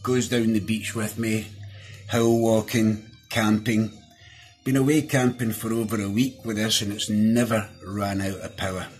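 A man's voice: fairly low pitch, fairly even intonation, measured pace, soft background music. A middle-aged Scottish man giving a casual, chatty, down-to-earth hands-on product review. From Scotland. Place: small indoor room at a desk.

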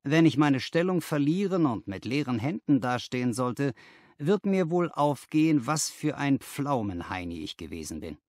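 Audiobook casting: plain-spoken young male clerk, read by a man, rueful and frank, animated intonation, close dry sound. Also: mid-range voice, normal speed, dry recording, lightly acted.

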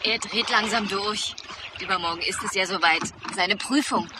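Casting: female actor for young protective woman in radio crime drama, mid-pitched, heard over loud boat-scene noise. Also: normal speed, lightly acted. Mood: wary. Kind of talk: German radio crime play dialogue.

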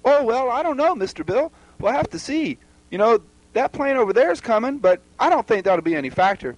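High-voiced, falsely friendly puppet sidekick. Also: male voice, sing-song, fairly quick, muffled recording, exaggerated voice. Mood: mildly concerned. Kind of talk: homemade puppet comedy skit.

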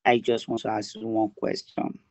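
A man's voice: fairly low pitch, level tone, moderate pace, creaky, darkish tone, slight background noise. A man on a video call, his voice muffled and distant through the phone. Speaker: romance scammer on a call.

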